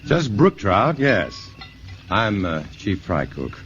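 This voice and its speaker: Low-voiced, modest radio-drama scientist. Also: male voice, very animated, slow pace, a bit bright, heavily acted. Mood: easygoing. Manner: easygoing, understated. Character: genial, modest scientist, top nuclear physicist. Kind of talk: 1950s radio drama acting. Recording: dull, noisy 1955 radio broadcast.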